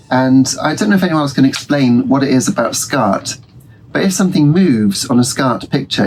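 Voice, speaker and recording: A man's voice: mid-range voice, poor audio. A man, stuffy from a cold, chatting casually through a game as host of his retro gaming channel, rambling with frequent ums and restarts. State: congested from a cold. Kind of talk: casual let's-play commentary. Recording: game music playing behind.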